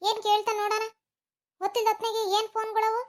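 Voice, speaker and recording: A fretful cartoon mother, voiced by a woman, scolds and pleads with her son in a very high, shrill voice. Her tone swings between nagging and lament. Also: completely flat delivery, quick pace. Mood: scolding. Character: worried middle-aged mother scolding her grown son. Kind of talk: home-made cartoon voice acting.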